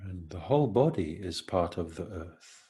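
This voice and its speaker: A man leading an unscripted, reflective guided meditation, speaking gently in halting phrases with long pauses. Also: low voice, expressive, breathy edge.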